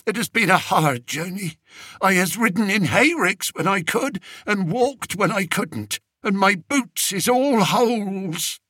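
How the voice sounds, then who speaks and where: A man's voice: high voice, animated, unhurried, pushed and bright, breathy edge, dry recording, clean studio sound, pitched above the performer's own voice, full character voice. A man voicing a frightened, tearful old male shepherd who speaks in a rustic dialect and wheezes. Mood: pleading, tearful.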